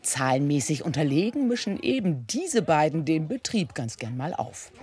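A woman narrates a light scripted feature and weather forecast. Her voice is very low for a woman, with animated, swooping intonation. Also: moderate pace, husky.

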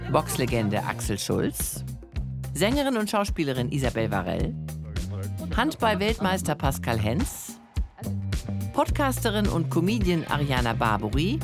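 A woman narrating a travel show with lively enthusiasm. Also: extremely low pitch. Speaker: host. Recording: voice-over with loud music behind.

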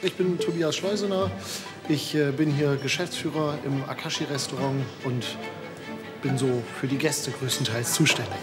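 A man talks casually and keenly in an interview at a mid pitch. Loud music plays behind him. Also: fairly even intonation, moderate pace. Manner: enthusiastic, casual. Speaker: restaurant manager.

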